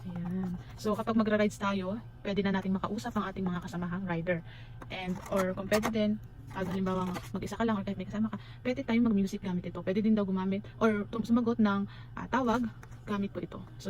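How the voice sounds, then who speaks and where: Female Filipino vlogger, informal unboxing chat, mid-pitched and fast, switches into English, recorded with noisy ambience. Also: fairly even intonation. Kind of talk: casual unboxing and review chat to viewers.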